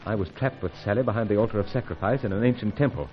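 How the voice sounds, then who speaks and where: An actor with a British accent playing a suave, steady secret agent in a radio adventure serial, polite, clipped and dryly understated. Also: male voice, fairly low pitch, very fast, faint music behind, lightly acted. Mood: grave narrating. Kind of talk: old-time radio adventure drama acting. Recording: dull, muffled vintage radio recording.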